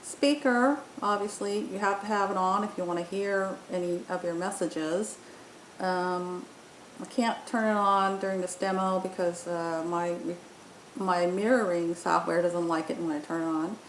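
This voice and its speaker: Echoey woman giving a step-by-step software tutorial. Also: steady tone, a little slow. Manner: hesitant, explanatory, step-by-step. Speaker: app demo presenter. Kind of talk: unscripted software tutorial narration. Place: indoor room, voice-over on screen recording. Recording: roomy echo, faint rumbling noise.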